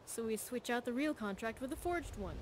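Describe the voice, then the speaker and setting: A woman's voice: on the high side, lively intonation, fairly quick, noisy background, close to an everyday voice. A woman playing a tough, cocky veteran thief in a video game, with a streetwise, blunt and wry manner. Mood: shrewd, probing. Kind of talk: video game character dialogue.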